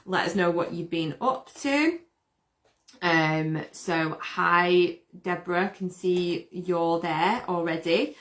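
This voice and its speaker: A woman hosting a casual live stream, chatty and upbeat, with a slightly croaky voice. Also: on the low side, slow, fairly bright tone. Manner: chatty, upbeat, greets viewers by name. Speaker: host.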